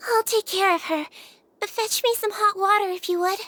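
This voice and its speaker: A woman voicing a gentle, caring nurse girl in a game, speaking softly and politely. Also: very high voice, normal speed, a little hiss or hum. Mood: worried. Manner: soft, soothing, polite. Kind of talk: game voice acting.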